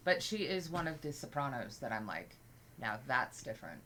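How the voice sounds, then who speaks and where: A woman with a low voice co-hosting a music reaction, chatting casually and explaining background details. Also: very animated, moderate pace, fairly bright tone, slight background noise, low-quality recording. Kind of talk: casual chat.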